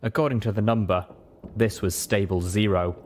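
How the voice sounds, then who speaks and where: A man's voice: lowish voice, fairly even intonation, moderate pace, darkish tone. A man reading scripted first-person story narration as an audiobook-style narrator. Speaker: narrator of a fan fiction reading. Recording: faint background noise.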